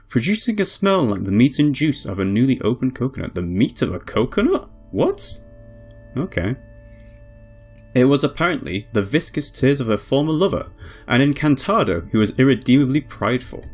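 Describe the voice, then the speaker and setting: Expressive man narrating over music. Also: medium pitch. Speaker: let's play host reading a visual novel aloud. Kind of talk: dramatic read-aloud narration with casual asides.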